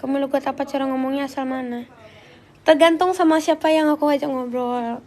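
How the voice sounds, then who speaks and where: A woman's voice: sits high, brisk, a little mellow. A young woman with a voice congested by flu, chatting casually and informally on a livestream as she answers viewers. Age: young adult. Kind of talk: casual chat.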